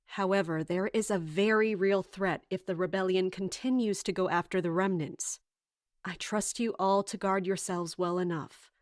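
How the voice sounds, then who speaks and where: A woman's voice: mid-range voice, steady tone, normal speed, dry recording, clean studio sound, natural voice. An actress playing a composed, formal and stern female captain with an authoritative manner in an audio drama. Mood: firm, formal.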